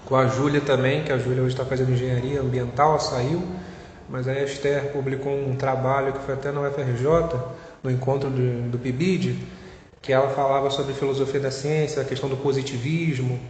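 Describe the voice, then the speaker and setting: Young carioca man, physics student interviewee; halting, filler-heavy casual talk; echoey room, faint noise. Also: medium pitch, flat delivery, a little mellow. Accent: Carioca Brazilian Portuguese. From Rio de Janeiro, Brazil. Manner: hesitant, many fillers and restarts.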